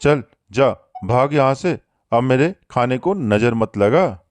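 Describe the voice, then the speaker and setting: A callous cartoon pigeon father, played by a man, talks slowly in a mid-pitched voice. He is curt and dismissive, gruffly bossing others around. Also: expressive, no room sound. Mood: dismissive, bossy. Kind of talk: Hindi moral-story cartoon dub.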